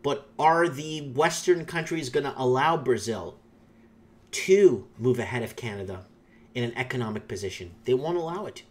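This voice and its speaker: A man's voice: mid-range voice, animated, normal speed. A man whose first language is Portuguese, hosting his own channel and rambling through opinionated commentary on viewer comments, with many fillers and restarts. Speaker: YouTube channel host.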